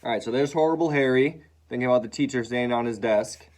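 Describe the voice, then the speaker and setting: A man reading a children's story aloud in an expressive way, acting out sounds and dialogue. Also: mid-range voice, fast talker.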